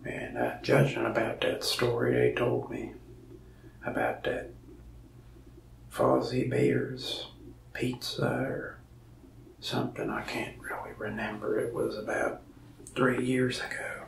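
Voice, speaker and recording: A young man speaking softly, half-whispering as a folksy, rambling mask shop salesman. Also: mid-range voice, lively intonation, takes it slowly, creaky, pauses often, roomy sound, far from the mic, noisy background. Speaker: ASMR creator playing a mask shop salesman. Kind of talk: soft-spoken ASMR roleplay. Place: indoors, small room in front of a wall of masks.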